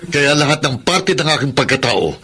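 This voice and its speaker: A man's voice: mid-range voice, sing-song, moderate pace, sharp tone, slight background noise. A man playing an earnest prisoner who takes photographs, in a Filipino radio drama. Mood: despairing.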